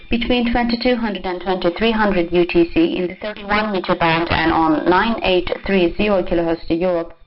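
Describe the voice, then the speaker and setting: A woman radio announcer reading a formal, scripted station announcement. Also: fairly low pitch. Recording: muffled shortwave radio broadcast with static.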